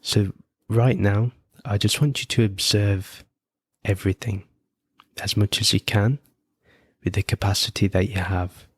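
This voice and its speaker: Man, guided meditation host, lowish even voice, gentle unhurried phrasing, very dry close sound. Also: slightly rough, no room sound. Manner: gentle, unhurried instruction with frequent pauses. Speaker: podcast host guiding meditation.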